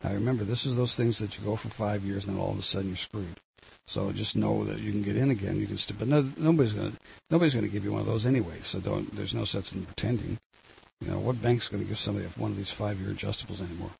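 An older man with a gravelly voice, hosting a rambling solo commentary on the news, full of fillers and restarts. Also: lowish voice, quick pace. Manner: meandering, many fillers and restarts. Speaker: solo show host. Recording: dull lo-fi with faint noise.

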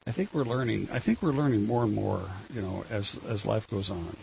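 An older man with a gravelly voice, hosting a rambling solo commentary on the news, full of fillers and restarts. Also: fairly low pitch, fairly quick. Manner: meandering, many fillers and restarts. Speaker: solo show host. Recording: dull lo-fi with faint noise.

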